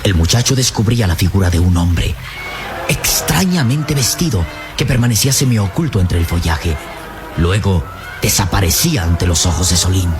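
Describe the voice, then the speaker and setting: Male serial narrator: very low, expressive, deliberate and suspenseful, strong music bed underneath. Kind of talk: dramatic scripted radio serial narration. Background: dramatic background music.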